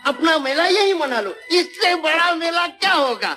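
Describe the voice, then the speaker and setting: An actor playing a cowardly, boastful, fawning village lawyer in an excitable 1950s Hindi film comedy style. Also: male voice, very high voice, measured pace, heavily acted. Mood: jubilant, rousing. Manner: obsequious, excitable, blustering, pleading. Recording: old 1950s film soundtrack with music.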